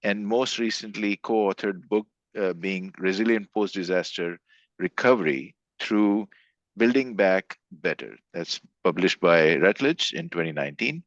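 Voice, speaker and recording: Deep-voiced man introducing a speaker. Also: dry recording, muffled recording. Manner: halting, stumbles over names. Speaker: seminar host.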